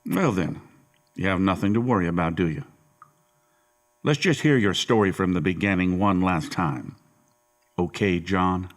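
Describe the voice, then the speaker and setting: A man playing a stern police-style interrogator with a low voice. He is condescending and menacing, deliberately needling and pressing again and again in dramatic audio drama style. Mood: coolly pressing.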